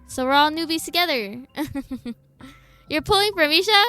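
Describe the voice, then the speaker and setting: A woman's voice: high voice, animated, measured pace, sharp tone. A young adult woman casually chatting as a game livestreamer, playful and informal. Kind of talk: casual livestream chat over gameplay. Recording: background game music. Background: game music.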